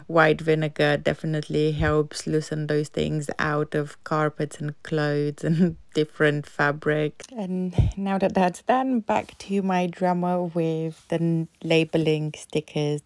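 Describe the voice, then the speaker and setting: Low, gravelly-voiced mum talking candidly. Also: female voice, a little mellow, no room sound. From United Kingdom. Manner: chatty, candid, talks through her worries. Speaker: vlogger, mum of three. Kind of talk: casual voice-over narration.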